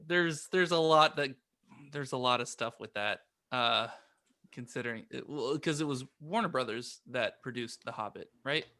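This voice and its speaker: Male podcast co-host, bright forceful tone, dry recording, chatty but halting, doubles back on words. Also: medium pitch, no echo. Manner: hesitant, repeats his words. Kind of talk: casual chat.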